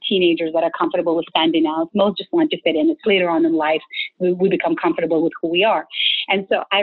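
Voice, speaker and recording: A woman from Ukraine with a Ukrainian accent, a guest telling stories in a casual interview and laughing often. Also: fairly low pitch. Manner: laughs often, animated storyteller. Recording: tinny phone-like line.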